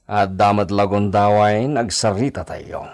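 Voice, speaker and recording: Give me a man playing a slow-spoken, proud hacienda master. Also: lowish voice, fairly even intonation, close to an everyday voice. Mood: cold, bitter. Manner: Spanish phrases mixed into speech. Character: proud hacienda master, indulgent with his little daughter. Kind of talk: Ilocano radio drama acting.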